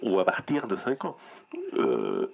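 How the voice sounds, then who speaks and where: A man's voice: low voice, huge pitch swings, unhurried, gravelly. A man whose first language is Spanish, a writer answering an interview hesitantly and correcting himself.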